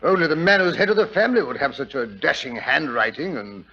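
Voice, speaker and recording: Male, British: hearty, bumbling, good-natured sidekick for 1940s radio mystery. Highish, animated, dull lo-fi sound. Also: fairly quick, breathy edge, heavily acted. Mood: eager, curious. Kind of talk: 1940s radio drama acting. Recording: muffled 1940s radio recording.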